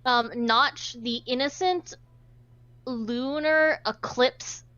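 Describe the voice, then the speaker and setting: Playful higher-voiced woman, muffled recording. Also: extremely slow, pushed and bright, clear, resonant tone, no echo. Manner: playful, jokey asides. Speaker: streamer reading a visual novel aloud. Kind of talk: casual group chat mixed with read-aloud narration.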